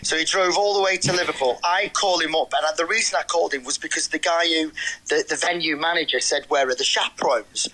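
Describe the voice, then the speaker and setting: A man's voice: on the high side, fast, bright, forceful tone, a little hiss or hum, low-quality recording. A man, an actor, giving a casual interview and telling his account with animation and repeated words.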